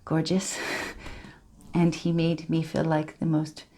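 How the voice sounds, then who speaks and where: A woman's voice: low-pitched, monotone, slow pace, mellow, full character voice. A woman performing a dramatic monologue as an earnest, emotional survivor, confiding and hesitant in direct address. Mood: fond, wistful.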